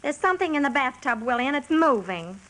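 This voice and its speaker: A nagging, brassy mistress in a radio drama. Her bright, high-pitched voice jumps about animatedly as she whines and makes demands. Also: very animated, quick pace, poor audio. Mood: indignant. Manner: whining, demanding. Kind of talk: stage-style radio drama acting.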